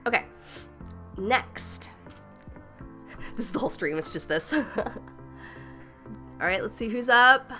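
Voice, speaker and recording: A woman's voice: on the high side, lively intonation, slow, long pauses, bright, forceful tone, soft background music. A woman hosting a casual livestream, slightly stuffy from a cold, chatty and upbeat, greeting viewers by name and laughing often. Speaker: livestream host.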